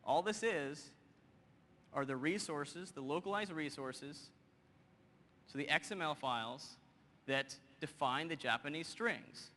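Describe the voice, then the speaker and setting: Male presenter, 30s, bright, punchy stage voice, lively demo delivery, big hall with long reverb. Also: on the high side. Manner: energetic, quick and joking with the audience. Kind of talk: live software demo on stage. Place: large conference hall stage. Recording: hall reverb, faint noise.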